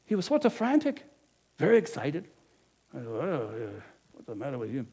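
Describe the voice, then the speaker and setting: A man's voice: a little high, brisk, husky, exaggerated voice. A man playing a boastful money-minded innkeeper. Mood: insistent. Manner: animated, emphatic, swings loud to hushed. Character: middle-aged male innkeeper, money-minded and guilt-ridden. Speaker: actor. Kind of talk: dramatic first-person monologue.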